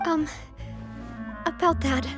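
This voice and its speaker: A woman's voice: high voice, fairly even intonation, takes it slowly. An actress playing a poised, sincere young woman who leads a gang in a video game, with polished theatrical delivery. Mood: sombre. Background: game music.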